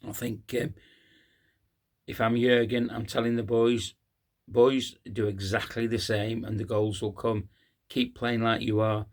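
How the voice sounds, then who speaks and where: A hoarse man, hosting a casual post-match fan talk, halting with many ums and uhs. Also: fairly low pitch, level tone. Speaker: fan channel host.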